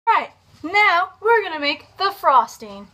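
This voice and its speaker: A young adult woman giving a casual, comedic cooking tutorial in a home kitchen, with playful asides and drawn-out words. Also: extremely high pitch, very animated, sharp tone. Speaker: host of a home cooking video. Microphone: camera on counter at about arm's length. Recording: background kitchen noise, clicks.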